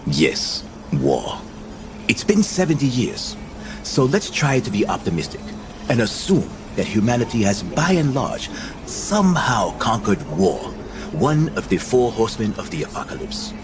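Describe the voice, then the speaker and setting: An actor playing a cynical, world-weary visionary leader in a science fiction audio drama, theatrical and dismissive. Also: male voice, lowish voice, huge pitch swings, measured pace, background noise, full character voice. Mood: sardonic.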